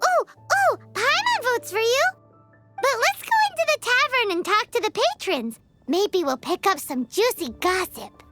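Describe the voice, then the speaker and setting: A woman voicing a tiny, excitable, childlike fairy companion in a video game, squeaky and exclamatory. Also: measured pace, soft background music, exaggerated voice. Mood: eager. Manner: exclamatory, refers to herself in third person.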